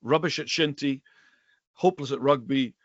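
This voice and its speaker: A middle-aged man from the Western Isles of Scotland, a native Gaelic speaker with a Highland accent, chatting conversationally as an interview guest. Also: moderate pace, no room sound, lo-fi sound. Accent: Scottish Highland. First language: Scottish Gaelic. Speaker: guest, bookseller and Gaelic teacher.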